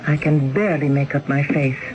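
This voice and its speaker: An actress playing a spoiled, discontented young wife in a radio drama, haughty and complaining. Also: female voice, deep voice, fairly even intonation, a little fast, natural voice. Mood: irritated, disdainful. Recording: muffled lo-fi radio drama broadcast.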